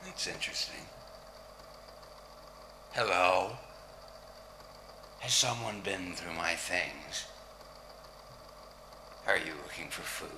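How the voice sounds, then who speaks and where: Weary obsessed male hermit, acted by a man: low, creaky, drawn-out theatrical delivery over noisy ambience. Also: animated. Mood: wary, coaxing. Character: obsessive, weary male hermit tormented by his meat work. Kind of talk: audio drama acting.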